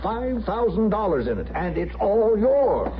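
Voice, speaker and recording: A jovial Old West townsman with an American accent and a high, swooping voice. He is hearty and emphatic, on a noisy old radio-drama recording. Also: sing-song, a little slow, highs cut off. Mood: jovial, excited. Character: jovial, excitable frontier townsman bearing good news. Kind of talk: 1950s radio western acting.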